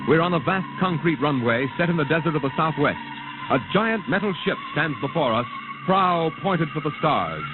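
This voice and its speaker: Theatrical male radio announcer, loud music behind. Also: fairly high pitch, animated. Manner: portentous, deliberate, theatrical. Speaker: announcer and narrator of a radio anthology. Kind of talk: 1950s dramatic radio scripted narration. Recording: tinny old radio broadcast. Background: loud dramatic music.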